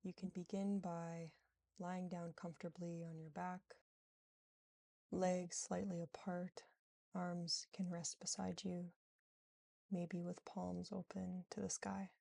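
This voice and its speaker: A woman leads a guided relaxation in a low-leaning, soothing voice with a slight fry. She moves gently and without hurry from phrase to phrase, leaving space between them. The sound is close and dry. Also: little pitch movement, dry recording. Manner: soothing, gentle, unhurried with long pauses. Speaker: yoga nidra guide.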